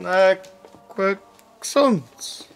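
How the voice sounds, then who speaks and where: A man acts as a naive young village groom in an amateur radio play. His voice is very high for a man, blunt, with a Kashubian accent. Faint music sits behind him. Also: extremely slow, a bit bright, full character voice. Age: young adult.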